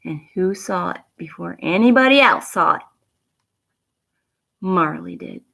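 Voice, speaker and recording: A woman reading a picture book aloud to children, pausing with teacherly questions and emphatic asides. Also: on the low side, lively intonation, gravelly, pushed and bright. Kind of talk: read-aloud bedtime story with asides and questions to young viewers.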